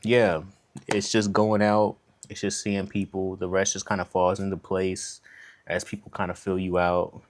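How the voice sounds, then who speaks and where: A young American man with an American accent, reflecting in a relaxed, thoughtful way, with many fillers. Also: sits low. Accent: American English. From United States. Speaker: vlogger. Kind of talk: reflective casual monologue.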